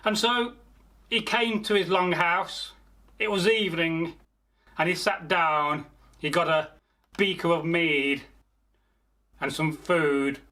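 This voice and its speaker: Slow, higher-pitched man telling a folktale. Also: fairly even intonation, pushed and bright. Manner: theatrical, unhurried, pauses mid-phrase, addresses listeners. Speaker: storyteller, channel host. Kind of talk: oral folktale storytelling, improvised.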